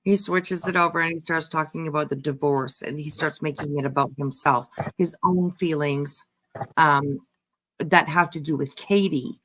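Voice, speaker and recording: A woman host giving casual reaction commentary, musing in a halting, repetitive, emphatic way. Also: low voice, steady tone, fairly bright tone, poor audio. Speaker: host giving commentary on a true-crime case.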